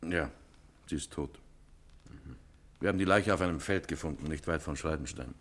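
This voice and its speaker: A formal, deferential policeman in an old radio play, reporting in a sober, matter-of-fact way. His voice is extremely low, with a deep and heavy bottom. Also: normal speed, fairly bright tone. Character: formal male police inspector. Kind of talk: 1950s radio play acting.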